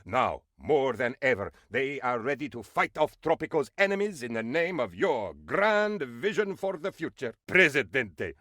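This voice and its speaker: A man voicing an eager, fawning presidential aide in a comedic video game, obsequious, excitable and melodramatic. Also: medium pitch, dry recording, clean studio sound. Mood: proud, excited. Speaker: game advisor character. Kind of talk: theatrical comedic game voice acting.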